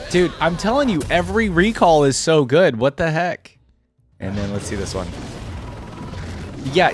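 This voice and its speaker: A man's voice: medium pitch, no echo. Casual man reacting on video, dry close sound. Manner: informal, thinks aloud. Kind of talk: casual reaction commentary, chatty.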